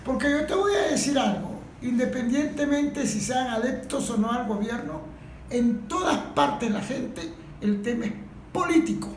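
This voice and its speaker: Woman with a Venezuelan accent, speaking off the cuff and insistently at a group discussion, fairly high, faint noise behind. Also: a little slow, darkish tone. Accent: Venezuelan Spanish. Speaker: industrial worker. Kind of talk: impromptu contribution to a group discussion. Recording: echoey room with faint background noise.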